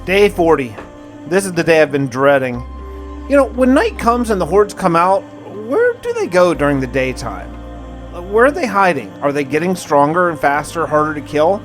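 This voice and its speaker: A man's voice: a little high, very animated, moderate pace, over music. A man hosting a gaming video, narrating his play casually in the first person with wry, conversational musing.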